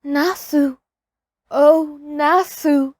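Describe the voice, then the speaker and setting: A woman reads as a village mother in a storybook, tender and full of sorrow. Her voice is gentle and high, the phrasing archaic, the recording dry. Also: fairly even intonation, drawn-out words, dry recording. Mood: hopeful calling.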